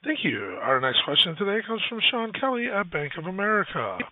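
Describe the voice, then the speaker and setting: Male conference operator, high register, scripted moderation, thin dry telephone audio. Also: big pitch swings, brisk, dry recording. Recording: tinny phone line.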